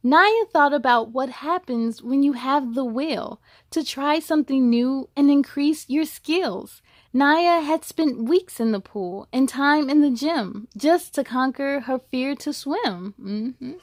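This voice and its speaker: A woman reading a children's book aloud in an expressive, encouraging way, with chatty asides to young listeners. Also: high-pitched, slow pace, no echo, clean studio sound.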